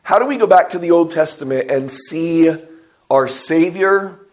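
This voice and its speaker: A man preaching a sermon with a hoarse, raspy, weak voice from a sore throat, speaking conversationally with frequent pauses and fillers. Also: fairly high pitch, highs cut off.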